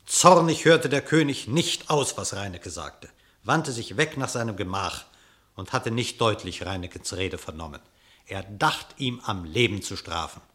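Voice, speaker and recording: Expressive male narrator, fairly low-voiced. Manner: expressive, measured storytelling. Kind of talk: scripted literary narration of a verse radio drama.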